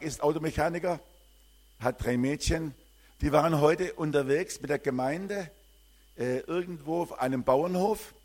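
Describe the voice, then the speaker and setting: An older man from southern Germany speaks to a church congregation as a missionary, sharing his life in a loose, rambling way. He pauses and hesitates often. His voice sits somewhat high for a man. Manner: warm, rambling, with frequent hesitations. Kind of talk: church greeting and personal testimony.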